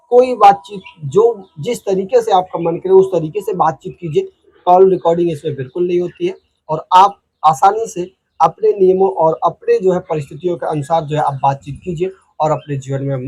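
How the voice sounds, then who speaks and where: A man with an Indian accent speaks in a high voice with a husky, unclear edge. He explains things informally to viewers as a host, stressing and repeating his points with plenty of filler phrases. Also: darkish tone, poor audio. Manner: repetitive, emphatic explaining with frequent filler phrases. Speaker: host of a legal explainer channel.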